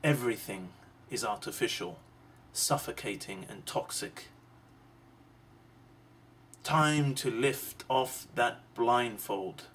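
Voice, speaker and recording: A man delivering a deliberate, emphatic monologue of social commentary, pausing between phrases. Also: mid-range voice, a bit bright. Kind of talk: slow rhetorical monologue. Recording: distant mic, clearly noisy background.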